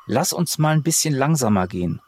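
Male role-play player, husky mid-range voice, colloquial, hesitant improvised delivery. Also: medium pitch, expressive, fairly quick, a little mellow. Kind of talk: improvised in-character casual talk.

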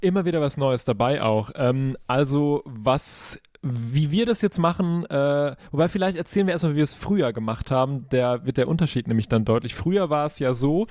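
A man's voice: mid-range voice, a little fast, hardly any pauses, a little mellow, no room sound, studio quality. A man talking as a podcast guest and sound designer, explaining his work in casual chat.

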